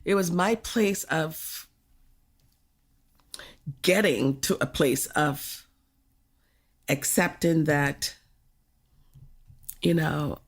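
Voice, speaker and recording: A woman's voice: low-pitched, very slow, pauses often, husky. An older woman from Jamaica talking frankly in a podcast conversation, often laughing as she reflects.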